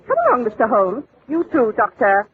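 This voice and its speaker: Haughty British society lady, arch and animated, old radio play, thin narrow-band sound. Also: fairly high pitch, normal speed, husky. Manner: arch, affected, drawling endearments. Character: haughty, catty English society hostess, sugary and cutting. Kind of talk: old-time radio drama acting. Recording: hissy, tinny old radio broadcast.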